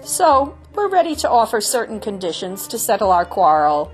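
A woman voicing a stern male village rabbi addressing the chickens loudly. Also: medium pitch, very animated, normal speed, soft background music, pitched above the performer's own voice, natural voice, low-quality recording. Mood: commanding. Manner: loud, commanding, impatient.

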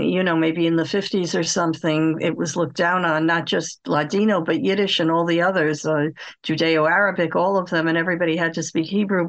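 A woman interviewer talking conversationally and putting questions to a guest. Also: lowish voice.

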